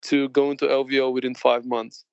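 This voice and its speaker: A man's voice: mid-range voice, flat delivery, creaky, a little mellow, smooth, no room sound, dull audio. Chatty man in his late thirties. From Bulgaria. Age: late 30s. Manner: chatty, laughs often, halting restarts. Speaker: guest. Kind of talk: casual interview chat.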